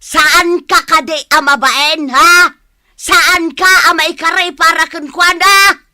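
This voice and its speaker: Stubborn, indignant Ilocano mother for comedy radio drama, played by a woman; very sharp voice, close dry sound. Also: very high voice, steady tone, slow, no echo, heavily acted. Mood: furious. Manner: scolding, indignant, exclaiming. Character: angry, stubborn village mother opposing her son's marriage.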